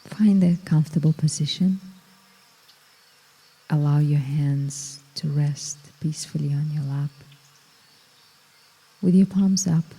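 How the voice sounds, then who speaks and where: A woman guiding a meditation in a slow, soft, soothing way, with long pauses between phrases. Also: low-pitched, husky, in a large hall, low-quality recording. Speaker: meditation guide. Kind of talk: guided meditation.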